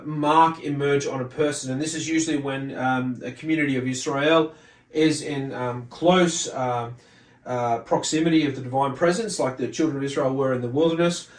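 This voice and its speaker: Higher-voiced man teaching in an echoey room. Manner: halting, with repeated words and self-corrections. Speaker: religious teacher presenting a study video. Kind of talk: informal scripture lesson, reading text and commenting. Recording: roomy echo, distant mic, faint noise.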